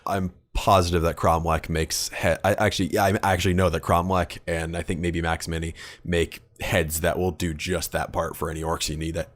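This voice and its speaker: A man's voice: low-pitched, flat delivery, normal speed, hardly any pauses. A man co-hosting a hobby podcast, chatting informally in a blunt, sweary way. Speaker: podcast co-host. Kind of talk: casual hobby podcast chat.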